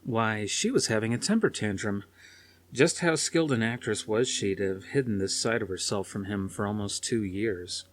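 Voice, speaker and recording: A man narrates dramatic fiction as an audiobook reader. His voice is on the low side, and the delivery is scripted storytelling. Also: expressive, normal speed.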